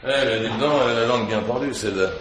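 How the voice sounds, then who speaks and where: Actor as quick-talking junior inspector, echoey. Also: male voice, mid-range voice, a bit bright, close to an everyday voice. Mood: mocking. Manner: colloquial, flippant. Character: cheeky, mocking male junior police inspector. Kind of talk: French radio mystery drama acting. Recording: echoey, noisy radio drama recording.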